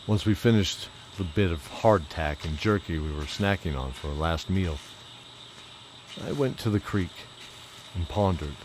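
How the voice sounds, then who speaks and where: A man playing a brooding old knight who tells his own tale in a measured, reflective, dramatic way for an audio drama. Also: sits low, noisy background. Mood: pensive. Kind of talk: first-person audio drama narration.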